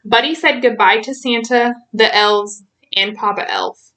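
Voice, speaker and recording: A woman reading a children's storybook aloud in an expressive way, stretching out key words for emphasis. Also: fairly high pitch, measured pace, low-quality recording.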